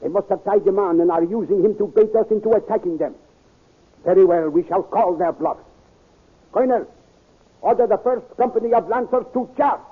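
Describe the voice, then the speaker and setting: Calm authoritative scientist prince, high-ish husky voice, old phone-thin radio sound. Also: male voice. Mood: commanding. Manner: measured, authoritative. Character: wise older male scientist prince. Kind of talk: 1930s radio serial acting. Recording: tinny, lo-fi 1930s radio transcription.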